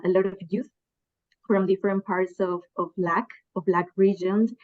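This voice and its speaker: A woman's voice: mid-range voice, lo-fi sound. Hesitant Peruvian woman in her twenties. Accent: Peruvian Spanish. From Peru. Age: 20s. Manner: hesitant, searching for words, many restarts. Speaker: panelist, development communicator. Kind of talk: panel discussion.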